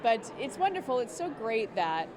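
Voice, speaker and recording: A woman's voice: on the high side, very animated, moderate pace. A woman giving casual answers in an interview at a fan convention. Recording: crowded convention hall chatter.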